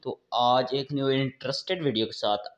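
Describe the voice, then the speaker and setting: A man's voice: medium pitch, fairly even intonation, normal speed. A man hosting a tech tips channel, narrating a casual step-by-step app tutorial to his viewers. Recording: dull, lo-fi voice-over recording.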